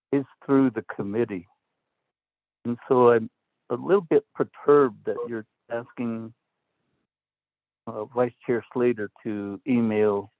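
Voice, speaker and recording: A man delegate questioning an official at a committee meeting, slow, deliberate and stern. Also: medium pitch, dry recording. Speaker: council delegate. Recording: dry tinny phone line.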